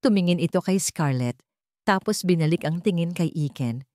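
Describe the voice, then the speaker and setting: A woman narrates scripted romance fiction as a dramatic storyteller. Her pitch sits on the low side, and the recording is dry and free of room sound. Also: animated, normal speed, dark tone, dry recording.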